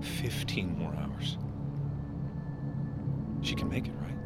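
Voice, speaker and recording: A man acting as a desperate, protective survivor in an audio drama, urgent and pleading, with loud music and car sounds behind him. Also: a bass voice, lively intonation, takes it slowly, in a whisper, full character voice. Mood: worried pleading. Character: anxious, desperate, protective man urging a sleepy friend on.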